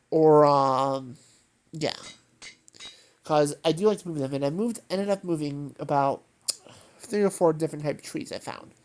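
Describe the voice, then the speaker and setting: A congested woman with a nasal tone and a notably low voice chats casually as she hosts her gaming video. She rambles along, doubling back and correcting herself as she goes. Soft music plays faintly underneath. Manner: meandering, with many fillers and self-corrections. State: congested from allergies. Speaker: gaming channel host. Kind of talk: rambling casual chat over gameplay.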